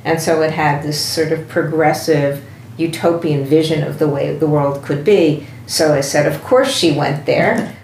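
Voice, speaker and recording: A woman's voice: deep voice, fairly even intonation, unhurried, a little mellow. A woman with an American accent telling her story conversationally in an interview. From United States. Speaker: composer being interviewed. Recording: echoey room with faint background noise.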